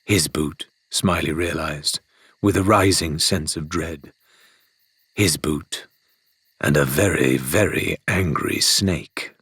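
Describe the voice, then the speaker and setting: Deep husky man narrating a story. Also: takes it slowly, fairly bright tone, no room sound. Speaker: audiobook narrator. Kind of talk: scripted dramatic audiobook narration.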